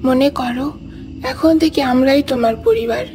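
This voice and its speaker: Casting: woman playing a fussing old village mum for a cartoon, high voice, music behind. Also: a little slow. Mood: kindly, coaxing. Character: kindly elderly village mother fussing over her son. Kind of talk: cartoon story acting.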